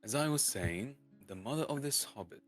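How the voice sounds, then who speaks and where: A man reading a storybook aloud in a halting way, stumbling and repeating words as he goes. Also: mid-range voice, animated. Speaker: streamer reading a novel aloud. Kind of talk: dramatic storybook reading, unrehearsed. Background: soft lofi guitar music.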